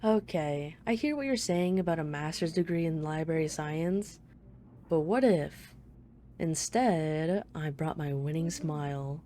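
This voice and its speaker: Woman playing a low, mellow, teasing female mafia boss. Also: measured pace, a little hiss or hum. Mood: smug, charming. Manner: playful, smug, flirtatious banter. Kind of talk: ASMR roleplay, one-sided dialogue.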